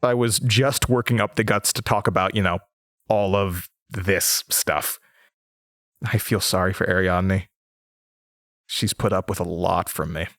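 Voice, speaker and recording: A man's voice: low voice, fairly even intonation, a little slow, pauses often, a bit bright, no room sound, studio quality. A man playing an anxious, haunted young host of a horror radio show in an audio drama. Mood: uneasy, confiding.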